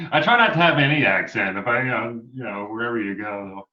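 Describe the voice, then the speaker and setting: A man's voice: medium pitch, animated, bright, forceful tone. Man on a dull, roomy line. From New York. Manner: encouraging, chuckling, halting recall with many fillers. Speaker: guest musician. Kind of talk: casual interview chat. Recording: dull, roomy remote audio.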